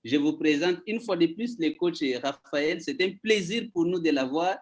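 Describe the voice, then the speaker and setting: A man hosting an online session, announcing his guest slowly and emphatically over a video call. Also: a little high. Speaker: host.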